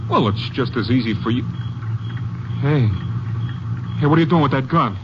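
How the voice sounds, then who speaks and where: A man's voice: medium pitch, normal speed, natural voice. An actor playing a trusting, chatty traveling salesman with a New York accent in a 1950s radio drama. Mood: alarmed, pleading. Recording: muffled noisy old radio, car sounds.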